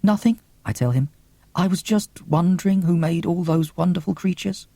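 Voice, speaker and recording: A man's voice: high voice, moderate pace, gravelly, mellow, no room sound. A man voicing a romantic young woman reading out as a diarist in an audiobook, breathless, tender and wide-eyed. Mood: awed, nervous. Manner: wide-eyed, gasping, earnest storytelling.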